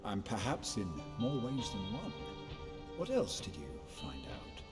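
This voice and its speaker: Cautious mentor, wry, knowing, animated pitch, game cutscene, music behind. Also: male voice, a little slow. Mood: wry, intrigued. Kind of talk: video game cutscene acting.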